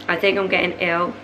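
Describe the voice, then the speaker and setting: Hoarse low-voiced young woman, chatty. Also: noisy background. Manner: chatty, rambling, many fillers. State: hoarse, voice going. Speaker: vlogger, mother of three. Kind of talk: casual chat to camera. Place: living room at night, small indoor room.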